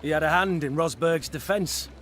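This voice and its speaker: An actor playing a cocky rogue companion in a video game, talking in a wry, casual, persuasive manner. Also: male voice, on the high side, moderate pace, a bit bright, natural voice. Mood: persuasive, sly. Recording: music behind the voice.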